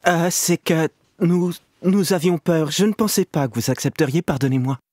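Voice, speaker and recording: A man playing a timid, devoted young husband in an acted storybook tale, with a husky, breathy voice. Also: a little high, normal speed, darkish tone, no room sound, studio quality, lightly acted. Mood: fearful, pleading. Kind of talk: storybook audio drama acting.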